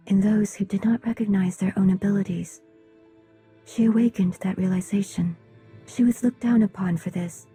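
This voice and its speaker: An actress playing a solemn, secretive mystic in a game cutscene, husky and breathy, speaking in a measured, formal way. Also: female voice, mid-range voice, mellow. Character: solemn mystic seer, grave and secretive woman. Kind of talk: dramatic video game cutscene acting.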